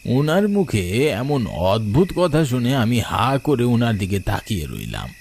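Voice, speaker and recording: A man giving a scripted, dramatic first-person narration of an animated horror story. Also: medium pitch, unhurried. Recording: clear background noise of night crickets.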